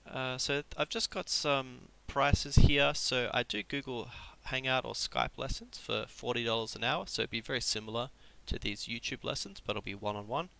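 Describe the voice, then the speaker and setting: Gravelly-voiced man teaching Japanese with a cold. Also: medium pitch, moderate pace, hardly any pauses, bright, forceful tone. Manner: casual, explanatory, frequent ums and restarts. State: has a cold. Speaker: Japanese tutor. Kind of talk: live-streamed language lesson.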